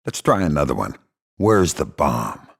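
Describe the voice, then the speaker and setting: An actor playing a hardened middle-aged spy in a video game, gravelly, terse and deadpan, with dry sarcasm and menace. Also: male voice, rumbling low, measured pace, exaggerated voice. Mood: quiet menace. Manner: terse, deadpan, dryly threatening.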